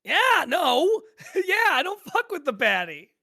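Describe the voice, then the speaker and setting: A woman's voice: very high voice, sing-song, takes it slowly, bright, forceful tone, exaggerated voice. A woman playing a friendly, easily amused drive-thru worker, chatting casually in an audio drama table read.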